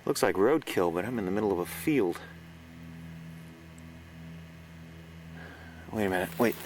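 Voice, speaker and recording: An actor playing a frightened reporter recording himself on a secret night stakeout in a horror audio drama, halting and stammering. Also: male voice, on the low side, lively intonation, lightly acted. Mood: shaken, uneasy. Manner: halting, stammering, gasping exclamations. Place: outdoors on a farm. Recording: noisy outdoor location recording.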